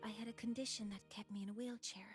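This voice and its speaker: An actress voicing a gentle, hopeful young woman scientist in a video game cutscene, soft-spoken and earnest. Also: a little high, steady tone, fast talker, natural voice. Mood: wistful, hopeful. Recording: game music behind the voice. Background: loud game music.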